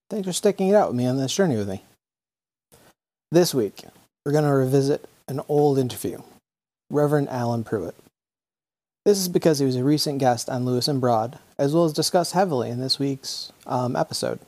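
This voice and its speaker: A male podcast host speaks at an ordinary pitch in a plain, direct way. He keeps his questions short and answers with brief acknowledgments. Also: vocal fry, darkish tone, dry recording. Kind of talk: podcast intro and interview questions.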